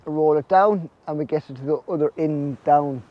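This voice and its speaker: A man's voice: on the high side, moderate pace, muffled recording. A man in his forties with an Irish accent, casually explaining farm jobs outdoors in a vlog. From Ireland. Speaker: snail farmer presenting his farm vlog. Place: outdoors under netting on a farm.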